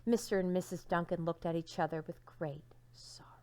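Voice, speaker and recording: A woman teacher reading a storybook aloud to young children, dramatic and expressive, with hushed phrases and questions to listeners. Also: lowish voice, brisk.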